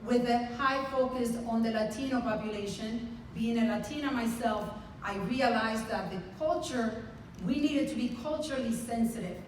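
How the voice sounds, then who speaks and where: A woman's voice: a little high, a little hiss or hum. A woman, speaking earnestly and proudly as a medical center CEO on a conference panel. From Dominican Republic. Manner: earnest and heartfelt, speaks with pride. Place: large conference hall. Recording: very echoey hall from far off.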